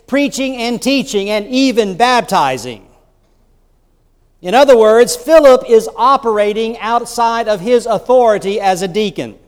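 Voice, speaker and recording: High-voiced bishop preaching in a reverberant church. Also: male voice, clear, resonant tone. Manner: storytelling, conversational with rhetorical asides. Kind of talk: sermon. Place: church sanctuary.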